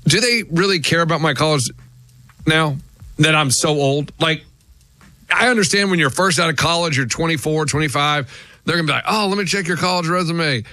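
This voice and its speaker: A man's voice: fairly high pitch, fairly quick, pushed and bright, no echo. A man in his forties, co-host of a morning radio show, rambling self-assuredly into a studio mic. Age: 40s.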